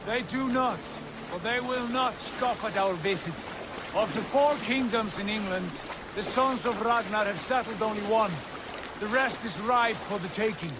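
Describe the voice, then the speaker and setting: An actor playing a confident, calculating Viking warrior leader in a video game, speaking in a formal, commanding way. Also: male voice, high-pitched, a little slow, background noise. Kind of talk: dramatic video game cutscene acting.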